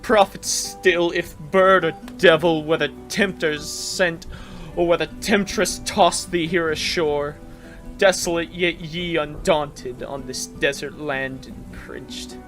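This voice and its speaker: Man acting out an anguished man shouting in grief. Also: fairly high pitch, pitched above the performer's own voice. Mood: desperate, imploring. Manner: theatrical, impassioned shouting and imploring. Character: anguished, grief-maddened man pleading with a demonic bird.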